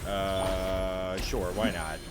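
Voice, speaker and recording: A man's voice: low-pitched, flat delivery, drawn-out words, very noisy. A man giving casual gameplay commentary as a streamer, with wry, offhand reactions. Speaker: gaming streamer. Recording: game music behind the voice. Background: video game music.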